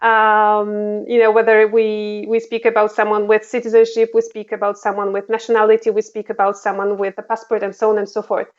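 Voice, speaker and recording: A woman's voice: mid-range voice, completely flat delivery, a little fast, hardly any pauses, clear, resonant tone, lo-fi sound. A woman diplomat with a Polish accent answering interview questions, with many fillers and restarts. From Poland. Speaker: diplomat guest.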